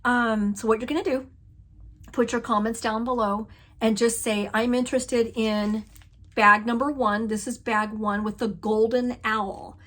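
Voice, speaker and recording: A woman's voice: fairly high pitch, fairly even intonation, a little slow, fairly bright tone. A woman hosting a casual craft video, chatting enthusiastically as she shows off patterns and giveaway items, often laughing and exclaiming. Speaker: flosstube host. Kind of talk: casual show-and-tell chat.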